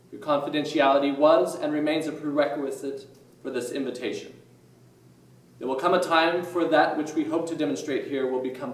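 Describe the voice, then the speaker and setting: In an echoey space, off from the microphone, a man playing a courteous doctor speaks in a fairly high male voice. The delivery is formal and measured, like announcements in a stage drama. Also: natural voice. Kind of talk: staged play reading. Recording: echoey room, distant mic.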